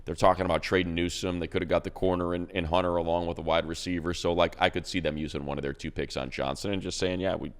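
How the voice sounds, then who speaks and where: Very deep-voiced man riffing on football. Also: flat delivery, fast talker, hardly any pauses, smooth. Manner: rambling, many restarts and repeated words. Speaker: radio play-by-play announcer and podcast co-host. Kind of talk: casual sports chat.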